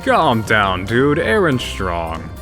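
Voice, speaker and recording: A man's voice: lowish voice, wildly swooping pitch, slow, fairly bright tone, faint music behind, full character voice. A man playing a cocky, carefree elf rogue in a fantasy audio drama, talking in flippant, laid-back slang.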